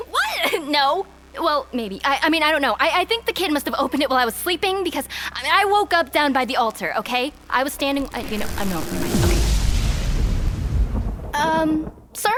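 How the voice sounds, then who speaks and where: A woman's voice: high voice, expressive, moderate pace, fairly bright tone, slight background noise. A woman playing a sardonic, snappy young female demon guard in an audio drama. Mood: nervous. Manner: sarcastic, exasperated, swooping.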